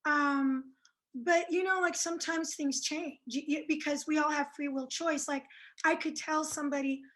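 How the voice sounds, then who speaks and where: A woman with a high voice, raised speaking French and Italian, answers interview questions as a psychic guest. She sounds shy and hesitant and fills her answers with ums and restarts. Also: steady tone, normal speed, fairly bright tone. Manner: shy, hesitant, many fillers. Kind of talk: casual interview chat.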